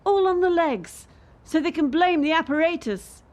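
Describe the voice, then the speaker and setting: A woman's voice: very high-pitched, expressive, moderate pace. A woman acting in a radio play as a bitter, defiant young elite gymnast under pressure. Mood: bitter, wary.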